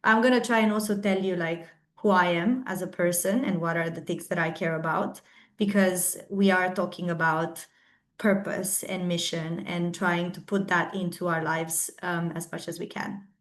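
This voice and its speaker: A woman's voice: lowish voice, steady tone. Young romanian-born woman chatting to students on a video call, earnest, lots of ums. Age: young adult. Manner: earnest, thoughtful, often pauses with "um". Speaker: webinar speaker. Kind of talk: informal talk to students.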